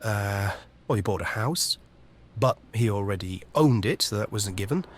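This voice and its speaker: An actor playing a casual, sceptical sidekick who talks in a halting, offhand way in an audio drama. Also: male voice, on the low side, lively intonation, slight background noise, natural voice. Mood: thoughtful.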